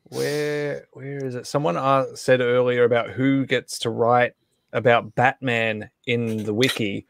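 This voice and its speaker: A man hosting a casual live online group chat, thinking aloud in a halting way. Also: mid-range voice, level tone, vocal fry, dry recording, clean studio sound. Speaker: host of a live online table read. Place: indoor room on a group video call.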